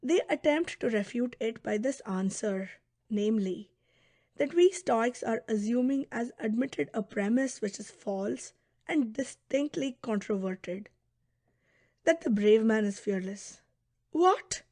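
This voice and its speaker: Female narrator for philosophical audiobook; fairly high voice, deliberate scripted reading, dry booth-like sound. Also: animated, mellow, no room sound.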